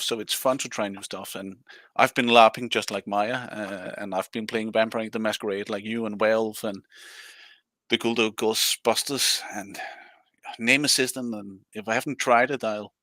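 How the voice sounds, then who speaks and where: A man's voice: lowish voice, steady tone, moderate pace, pushed and bright, no echo. A man in his forties from Denmark, a guest chatting casually on a podcast and rambling with many restarts. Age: 40s. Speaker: guest, scenario writer.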